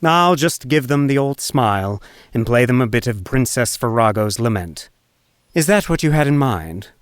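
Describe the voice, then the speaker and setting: Sly, cheeky minstrel, a male actor, very animated. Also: medium pitch, normal speed, clean tone, no echo, studio quality, lightly acted. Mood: easygoing. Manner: casual, teasing, mock-deferential. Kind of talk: full-cast audiobook acting.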